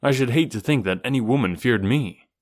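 A man voicing a strong, lonely young jungle man who speaks in a calm, measured and direct way. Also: mid-range voice, lightly acted. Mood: earnest.

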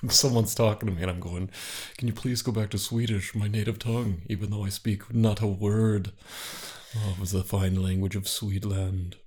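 A man co-hosting a comedy podcast, talking in a deadpan, mock-formal way through improvised banter. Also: on the low side, moderate pace, slightly rough.

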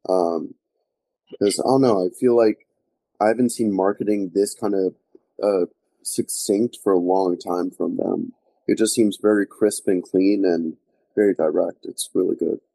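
Male guest, casual talker. Very low register, soft dark tone. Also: steady tone, measured pace, creaky, dry recording, poor audio. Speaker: podcast guest. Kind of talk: casual chat.

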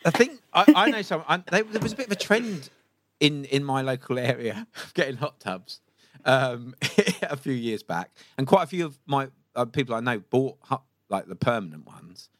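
A man co-hosts a podcast in a very dry, close-sounding recording. His pitch moves up and down a lot, sitting in the middle for a man, and he talks with playful asides and frequent ums. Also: lively intonation, moderate pace, a bit bright, no room sound. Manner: apologetic, playful, lots of ums. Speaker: podcast co-host. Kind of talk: casual podcast interview.

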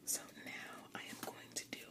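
A woman playing a quiet, doting best friend with a secret obsession, whispering hesitantly in an ASMR roleplay. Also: moderate pace, close to an everyday voice. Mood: doting, attentive. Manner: soft, hesitant, doting, repeats phrases gently.

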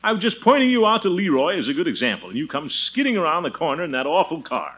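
Blustery uncle, wildly swooping voice. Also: male voice, fairly high pitch, big pitch swings, quick pace, slightly rough. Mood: exasperated. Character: blustery, fussy, good-hearted middle-aged uncle and guardian. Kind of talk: 1940s radio comedy acting. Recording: noisy, muffled old radio recording.

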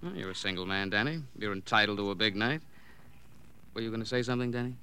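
Wisecracking cop buddy, old radio drama, sharp clear voice, kinda low. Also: male voice, quick pace. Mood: teasing. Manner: wry, breezy, teasing. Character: wisecracking, needling male police colleague. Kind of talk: 1940s-50s radio drama acting.

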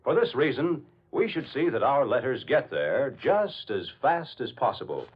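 A male 1940s radio announcer with a husky, mid-range voice. He delivers scripted lines formally and emphatically with wide, lively intonation. The old recording sounds dull. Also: mid-range voice, normal speed.

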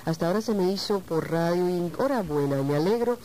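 A woman's voice: on the low side, lively intonation, fast talker, mellow, slight background noise. A woman hosting a conversational interview, chatty and complimentary, prompting her guest with questions. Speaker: interviewer and host.

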